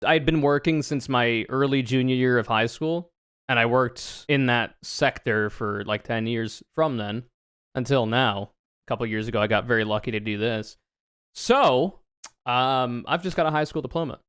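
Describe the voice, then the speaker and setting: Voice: mid-range voice, moderate pace, fairly bright tone, clear, resonant tone, no echo, clean studio sound. A man in his twenties from the United States chatting casually on a livestream, reading questions aloud and answering with joking, sarcastic, rambling asides. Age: 20s.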